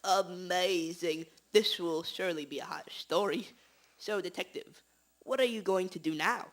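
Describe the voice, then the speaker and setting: A woman's voice: fairly low pitch, measured pace, natural voice. A woman playing an eager, nosy newspaper reporter chasing a scoop in an amateur radio drama.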